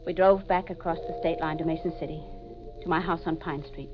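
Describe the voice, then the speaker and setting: A woman's voice: deep voice, steady tone, a little fast, natural voice. An actress playing a haunted, desperate wife who confesses her murders, in intense, melodramatic 1940s radio mystery style. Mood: haunted, confessing. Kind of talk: 1940s radio mystery acting, first-person narration. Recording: dull old 1940s radio recording with music.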